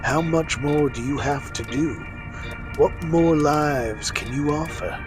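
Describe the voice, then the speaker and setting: A man's voice: a little high, slow pace, music in the background. A man playing a damning radio preacher in an audio drama, with a rhythmic sermon cadence. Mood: coldly urging. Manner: rhythmic cadence, sudden surges and drops.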